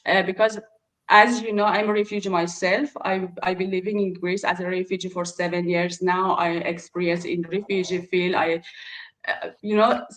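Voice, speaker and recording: A woman, speaking earnestly and haltingly about her own business. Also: fairly low pitch, flat delivery, fairly bright tone, low-quality recording. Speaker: refugee entrepreneur pitching. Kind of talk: casual spoken pitch.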